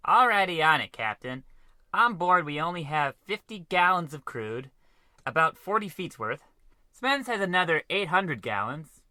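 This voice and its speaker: Man playing a very bright, sharp-voiced navigator. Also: fairly high pitch, sing-song, normal speed, no echo, exaggerated voice. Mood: dutiful report. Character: dutiful, eager young male spaceship navigator lieutenant. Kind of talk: parody of old radio adventure acting.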